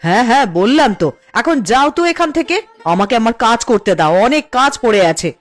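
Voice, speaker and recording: A woman's voice: fairly high pitch, big pitch swings, fast talker, faint music behind. A woman voicing a peevish, competitive village housewife in a cartoon, with a snappish, complaining way of talking. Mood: snappish, defiant. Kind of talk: Bengali cartoon voice acting.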